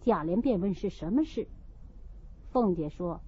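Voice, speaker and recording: A woman's voice: lowish voice, lively intonation, measured pace, dark tone, slightly rough, muffled recording. A woman narrating a classic novel as an audiobook, reading expressively from the text.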